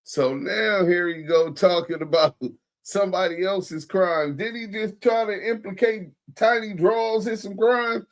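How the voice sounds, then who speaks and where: A man's voice: sits high, measured pace. Mocking American man reacting live. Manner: profane, mocking, slangy. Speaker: livestream host reacting to clips. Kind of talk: casual live reaction commentary.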